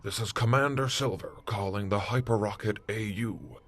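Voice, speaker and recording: A man's voice: fairly low pitch, normal speed, fairly bright tone. A man playing a businesslike space fleet commander calling in by radio in a retro sci-fi audio drama. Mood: official.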